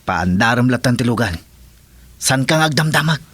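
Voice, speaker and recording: A man's voice: medium pitch, lively intonation, moderate pace, over loud music, lightly acted. A Filipino man playing a brash, impatient sidekick in a radio drama, curt and teasing.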